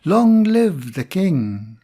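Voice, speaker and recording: A man voicing jubilant subjects hailing their king in a storybook, with drawn-out, raised cries. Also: high voice, sing-song, dark tone, voice pushed up, heavily acted.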